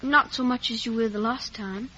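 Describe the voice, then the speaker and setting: Small, high-voiced humble boy. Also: fairly even intonation, moderate pace, sharp tone, breathy edge. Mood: meek, guarded. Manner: meek but stubborn. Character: humble, wounded young orphan boy. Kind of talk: 1950s radio drama acting. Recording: muffled vintage radio recording.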